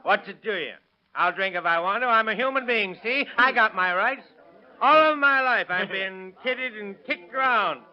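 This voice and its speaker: Man playing a small insecure man, high voice, self-justifying, vintage radio drama, muffled recording. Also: moderate pace, lightly acted. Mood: drunk, defiant. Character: timid henpecked little man, boastful and defiant when drunk. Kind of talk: 1930s radio drama acting.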